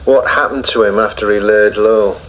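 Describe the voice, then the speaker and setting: An actor as a persistent detective who questions in a measured, probing way in a radio drama. His voice is bright, and faint noise sits under it. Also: on the low side, steady tone, natural voice, rough recording. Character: probing, persistent detective, middle-aged man. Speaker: police memory specialist.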